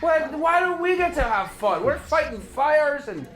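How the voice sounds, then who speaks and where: A man playing a tabletop fantasy game, excitably speaking in character. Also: extremely high pitch, a little slow, echoey room, a little hiss or hum.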